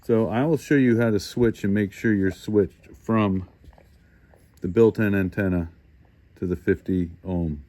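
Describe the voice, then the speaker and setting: Low-pitched man, casual gadget walkthrough. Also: a little slow, mellow. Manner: informal, unscripted, thinking aloud. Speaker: host of a hobby radio review channel. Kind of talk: casual hands-on product walkthrough.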